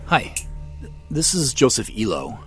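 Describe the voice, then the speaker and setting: A man's voice: mid-range voice, huge pitch swings, unhurried, loud music behind the voice. An actor playing a dry, guarded man in an audio drama. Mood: guarded, polite. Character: dry, sardonic man checking messages and leaving one.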